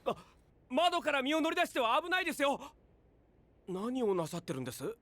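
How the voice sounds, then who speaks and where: An actor playing a polite, fretful attendant to a young master in an anime-style voice drama. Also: male voice, very high-pitched, sing-song, fairly quick, bright, forceful tone, a little hiss or hum, heavily acted. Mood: worried.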